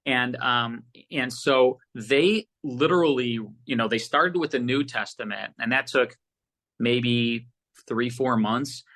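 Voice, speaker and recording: A man with a bright, forceful voice at an ordinary male pitch, talking as a guest in a casual interview. He tells rambling, animated stories, restarting sentences and quoting conversations as he goes. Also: takes it slowly. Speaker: interview guest, audio drama producer.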